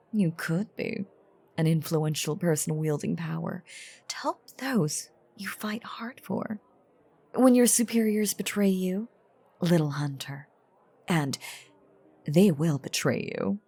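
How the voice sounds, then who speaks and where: A woman playing an ancient, playful yet menacing female vampire in an audio drama, teasing in theatrical, old-fashioned phrasing with a husky, breathy voice. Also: sits low, darkish tone, heavily acted. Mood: knowing, coaxing. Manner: theatrical, teasing, archaic wording, deliberate pauses. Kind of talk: dramatic character audio roleplay monologue.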